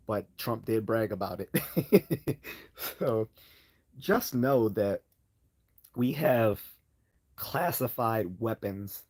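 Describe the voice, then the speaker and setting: Hoarse man rambling through a livestream. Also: on the low side, a little mellow. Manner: rambling, hesitant, many fillers and restarts. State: hoarse, losing his voice. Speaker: livestream host answering chat questions. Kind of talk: casual live Q&A chat.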